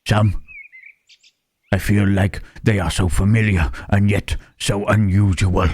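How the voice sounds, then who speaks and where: A man voicing a gentle, self-loathing Frankenstein's monster in an ASMR roleplay, speaking in halting, formal phrases with a husky, rough voice. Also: lowish voice, little pitch movement. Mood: pained, confused. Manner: halting, formal phrasing with searching tag questions. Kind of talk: slow ASMR roleplay monologue.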